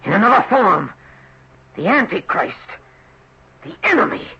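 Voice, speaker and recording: An actor playing a deranged, violent man in a 1970s radio drama, with a strained raspy voice and a wild, erratic delivery. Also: sits high, very animated, measured pace, bright, forceful tone, exaggerated voice. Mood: feverish revelation. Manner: wild, erratic, swinging from whisper to shriek. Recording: dull lo-fi old radio recording.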